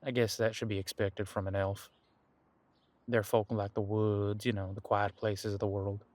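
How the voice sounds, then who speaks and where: An easygoing ancient demon detective played by a man talks in a low voice, close and dry. He muses in a folksy, laid-back way, as if chatting with a companion in an audio roleplay. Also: flat delivery, moderate pace, darkish tone, no room sound, natural voice. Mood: relaxed, musing. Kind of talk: conversational one-sided audio roleplay.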